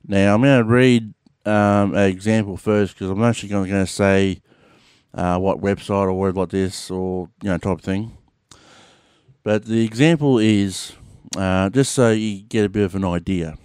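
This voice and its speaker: A man's voice: lowish voice, fairly even intonation, moderate pace, a little mellow, clear, resonant tone, no echo, studio quality. A man with an Australian accent hosting his podcast in a casual monologue, rambling with lots of fillers and the odd swear word. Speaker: podcast host. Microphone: podcast mic.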